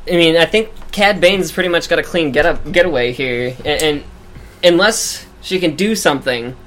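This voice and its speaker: A man with a high-pitched voice co-hosting a casual fan discussion, animated and laughing often. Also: moderate pace. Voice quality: high-pitched for his age. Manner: animated, laughs often, echoes his co-host. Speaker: podcast co-host.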